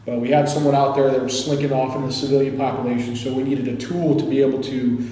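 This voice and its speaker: A man's voice: mid-range voice, quick pace. A man in his thirties, originally from Kuwait, telling war stories as a podcast guest and acting out the voices of the people he quotes. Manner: animated storyteller who acts out quotes. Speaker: guest, behavior analysis instructor. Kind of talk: casual podcast storytelling. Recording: echoey room with long reverb.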